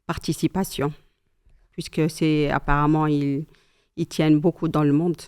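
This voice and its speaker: A middle-aged woman from Algeria talks over coffee about her past and her children. Her voice is mellow and relaxed, and she tells her memories calmly and thoughtfully, with pious asides. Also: low-pitched, moderate pace, smooth. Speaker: mother sharing memories. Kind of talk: casual chat.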